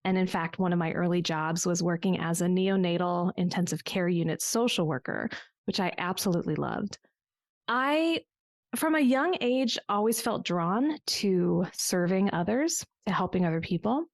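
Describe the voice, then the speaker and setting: Podcast guest, woman, forties, reflective storyteller, some vocal fry. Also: lowish voice, lively intonation, moderate pace, no echo, clean studio sound. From United States. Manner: reflective storytelling with small restarts. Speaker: business coach guest. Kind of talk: conversational podcast interview.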